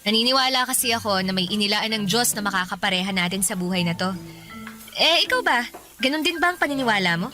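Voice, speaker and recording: A woman's voice: medium pitch, animated, fast, pushed and bright, soft background music. An actress playing a candid young working woman with a Filipino accent, in conversational radio drama style. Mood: chatty, candid. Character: chatty young working woman, warm, candid, stands her ground.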